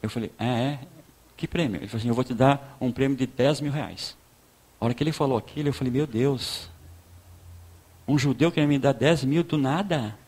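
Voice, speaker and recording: Guy preacher w southern brazilian gaucho accent, telling a personal story to a group, chatty and halting, medium pitch. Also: a little mellow, husky. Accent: southern Brazilian, Rio Grande do Sul. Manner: conversational storyteller acting out dialogue, halting with repeated words. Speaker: pastor giving a talk. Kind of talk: informal sermon with personal testimony.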